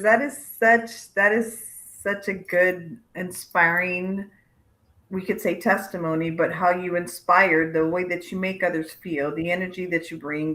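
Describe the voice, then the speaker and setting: A woman's voice: on the low side, bright, forceful tone, poor audio. A woman interviewing a guest on a podcast in a conversational style.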